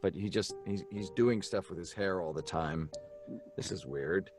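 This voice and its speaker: A man with a fairly low voice talks casually as a guest on a live stream. His conversation is informal, with soft music behind it. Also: normal speed. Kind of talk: casual chat.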